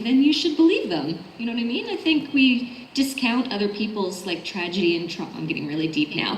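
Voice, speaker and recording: A woman speaking as a guest on a convention panel, casual, conversational and reflective. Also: a little high. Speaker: voice actor on a panel. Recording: echoey convention room, faint noise.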